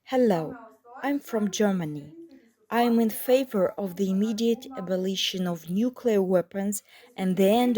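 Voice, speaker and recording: A woman reading translated statements as a voice-over, in a steady, earnest manner. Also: mid-range voice. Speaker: voice-over interpreter.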